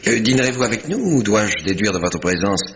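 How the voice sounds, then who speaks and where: A man acting as a genial, formal secretary in a radio play. His voice is fairly low and courteous. Clear noise runs through the old recording. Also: lively intonation, a little fast, natural voice. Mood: courteous, jovial. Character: polite, genial male secretary of a country house. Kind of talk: French radio drama acting.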